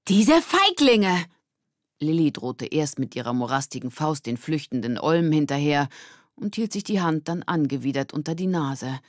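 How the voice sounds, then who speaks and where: This is a narrator of a children's audiobook, reading scripted prose. The pitch sits in the middle, and the recording is dry, with no room sound. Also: normal speed, a little mellow, no echo.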